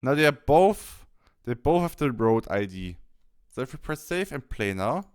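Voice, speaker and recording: Clear-voiced man with lively, varied pitch. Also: moderate pace. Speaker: tutorial presenter. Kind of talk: instructional software walkthrough narration.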